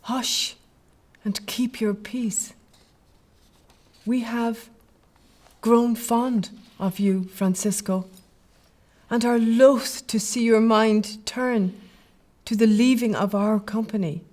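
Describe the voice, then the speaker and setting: A woman's voice: a little high, fairly even intonation, takes it slowly, long pauses, darkish tone. A woman playing a brave, tender young Gaelic clanswoman in a period audio drama, with earnest, poetic phrasing. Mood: tender, wistful.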